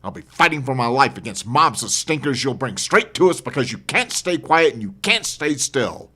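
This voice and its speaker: A man's voice: medium pitch, very animated, moderate pace, pushed and bright, slightly rough, pitched above the performer's own voice, heavily acted. A man voicing a hard-bitten, impatient male survivor in an audiobook horror story, blunt and commanding. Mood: angry, commanding.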